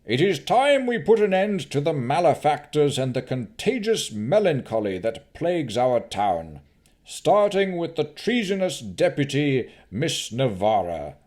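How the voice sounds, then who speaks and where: A man doing a haughty noble baron, pompous, tyrannical, proclaiming, high strained voice, loud. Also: sing-song, unhurried.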